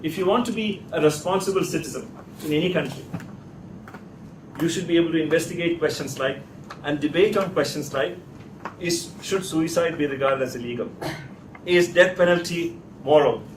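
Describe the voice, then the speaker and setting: A man teaching a class in a deliberate, emphatic way, putting probing questions to his students. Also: a little high. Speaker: teacher leading a workshop class. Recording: echoey, noisy room, distant mic.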